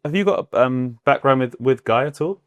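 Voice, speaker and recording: A man's voice: medium pitch, lively intonation, a little fast, no room sound. A British man from the South West of England hosting an interview, chatty and stumbling over his questions. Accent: British English. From Bath, South West England. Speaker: podcast host. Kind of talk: casual interview chat.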